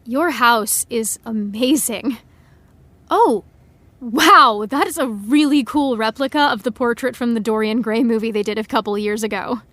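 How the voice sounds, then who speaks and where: A woman playing a nervous, polite fan in an audio drama, speaking haltingly and deferentially. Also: a little high, fairly bright tone, dry recording. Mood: flustered awe. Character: nervous, polite female fan with private indignation. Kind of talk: audio drama acting, naturalistic dialogue.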